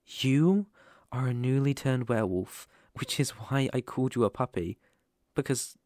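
Man acting a slow, gentle British werewolf. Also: medium pitch, no room sound, clean studio sound. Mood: hesitant, earnest. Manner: soft-hearted, reassuring, halting and pausing. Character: gentle, protective male lone werewolf living in a forest cabin. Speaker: ASMR roleplay performer. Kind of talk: ASMR roleplay acting.

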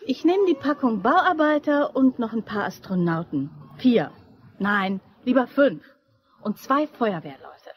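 A woman's voice: a little high, sing-song, measured pace, husky, lo-fi sound, heavily acted. A woman playing an eager, naive young woman in a German crime radio drama, chatty and insistent. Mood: brisk, businesslike. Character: eager young woman devoted to her boyfriend, scheming, upbeat.

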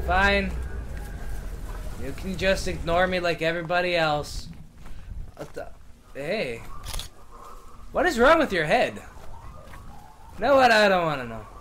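Excitable lively-voiced gaming streamer man. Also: high-pitched, slight background noise. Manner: loud, excitable, sarcastic, swears freely. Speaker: game streamer. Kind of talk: let's play commentary.

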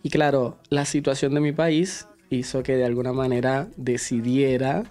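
A man's voice: mid-range voice, level tone, normal speed, mellow. A young man from Venezuela with a Venezuelan accent, a dancer guest in a studio interview, friendly and upbeat. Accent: Venezuelan Spanish. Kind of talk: casual interview. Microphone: handheld microphone.

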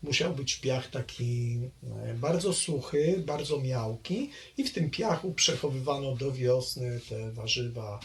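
A man's voice: medium pitch, expressive, unhurried, hardly any pauses, a little hiss or hum. A man, recalling his childhood in an oral history interview, hesitating often with fillers and restarts. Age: 60s.

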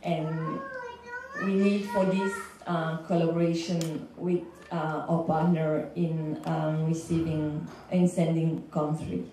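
A young woman with a Belgian accent giving an earnest, halting semi-prepared speech on a panel as a legal consultant. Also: deep voice, very animated, warm tone. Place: indoor lecture room panel. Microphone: handheld microphone, recorded by far camera. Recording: echoey room, distant, faint background noise.

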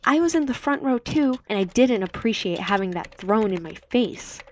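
An indignant high school girl read by a woman narrator, prim and quick to judge. It is an audiobook character, recorded with a faint noise underneath. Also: lively intonation, lightly acted. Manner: indignant, judgmental. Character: disapproving, prim teenage schoolgirl typing online. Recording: slightly noisy home recording.

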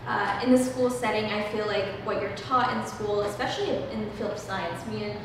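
A woman's voice: medium pitch, fairly quick. An eighteen-year-old woman hosting a casual discussion in a church room, talking earnestly with frequent "um" fillers. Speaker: host. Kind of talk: casual discussion, leading a prayer. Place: church room, small group at a table. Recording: echoey room, heard from a distance, background noise.

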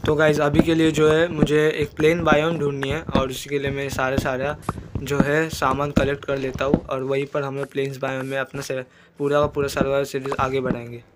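A man, hoarse from a cold, chatting casually as he narrates his own game play and mixes English words into Hindi. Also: mid-range voice, little pitch movement, fairly quick, heavy background noise. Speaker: gaming YouTuber.

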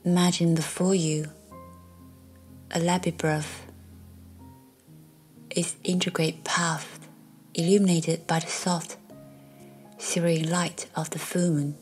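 A woman guiding a meditation in a soft, soothing, breathy voice that often nears a whisper, leaving long pauses. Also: lowish voice, flat delivery. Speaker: meditation guide. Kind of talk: guided meditation narration. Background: faint music.

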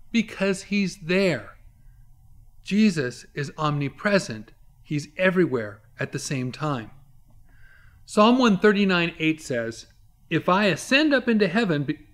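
A man in his fifties speaks as a Bible teacher addressing the camera. His voice sits a little high and swings widely in pitch. He explains and preaches emphatically, pausing dramatically to stress key words. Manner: emphatic, dramatic pauses for effect.

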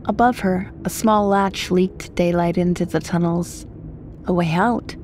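A woman's voice: lowish voice, steady tone, a little slow, darkish tone, slight background noise. A woman from the United States reading scripted horror fiction as a story narrator.

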